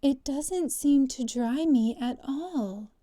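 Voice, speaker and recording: A woman's voice: sits high, lively intonation, takes it slowly, dark tone, no echo, studio quality, pitched above the performer's own voice. A woman voicing a melancholy, polite young storybook girl with a plaintive manner. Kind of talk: storybook character voice.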